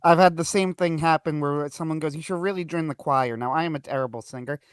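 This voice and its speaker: A man's voice: fairly high pitch, fast, clean tone, dry recording, clean studio sound. A man hosting a livestream, chatting casually in a self-deprecating way. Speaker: livestream host.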